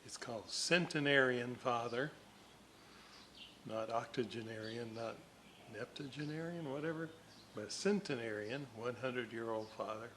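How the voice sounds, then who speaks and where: An older man giving casual, halting, folksy narration as a hobbyist at his workbench. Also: medium pitch, a bit bright, a little hiss or hum. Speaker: radio restoration hobbyist and host.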